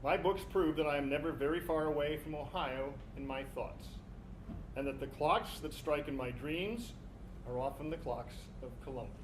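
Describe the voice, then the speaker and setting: A man's voice: fairly high pitch, normal speed. A man performing on stage as a reader in a literary dramatization. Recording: live stage recording with background noise.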